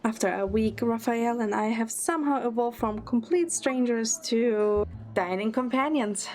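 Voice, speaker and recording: High-pitched young heroine narrating, played by a woman. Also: moderate pace, darkish tone, soft background music, lightly acted. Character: young woman heroine, baffled, fond, quick-witted narrator. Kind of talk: first-person scripted narration.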